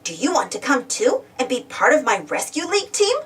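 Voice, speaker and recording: Female children's storybook reader, high voice, highly animated and exclamatory, recorded a little away from the mic. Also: fairly quick. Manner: animated, exclamatory, addresses young listeners with questions. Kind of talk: expressive children's read-aloud. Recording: distant from the mic.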